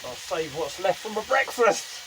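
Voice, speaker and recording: British man vlogging over clear background noise. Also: sits high, big pitch swings, moderate pace. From United Kingdom. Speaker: vlogger and amateur radio hobbyist. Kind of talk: casual vlog chat to camera. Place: inside a small motorhome.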